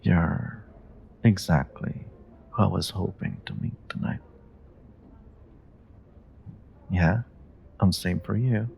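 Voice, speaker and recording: A man playing a flirty, charming stranger in an intimate romantic audio roleplay, with teasing, drawn-out, murmured lines. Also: deep voice, creaky, darkish tone, dull audio, a little hiss or hum. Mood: flirty, eager.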